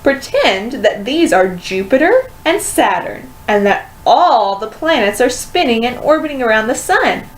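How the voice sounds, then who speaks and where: A woman's voice: fairly high pitch, big pitch swings, unhurried, hardly any pauses, roomy sound, poor audio. A woman voicing a strict, no-nonsense female first-grade teacher in a stern, emphatic manner for a storybook. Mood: instructive.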